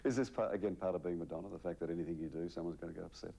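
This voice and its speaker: A middle-aged Australian man puts questions in a celebrity interview. His voice is low and mellow, and he talks very rapidly in a conversational way. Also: gravelly, slightly rough. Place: living room in a house.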